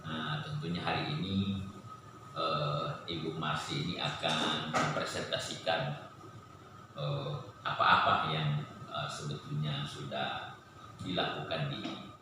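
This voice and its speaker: Male official, extremely low voice, slow and halting, informal welcome speech, heard from far off in a reverberant room. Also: little pitch movement. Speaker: vice regent opening a presentation. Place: large echoey indoor meeting room. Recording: very echoey hall, distant mic, noisy.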